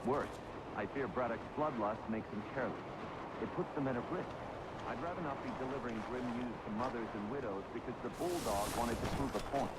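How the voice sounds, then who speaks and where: An actor playing a sober, principled colonial army officer in a game cutscene. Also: male voice, mid-range voice, brisk, natural voice. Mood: weary, worried. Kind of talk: video game cutscene acting. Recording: loud military camp noise behind.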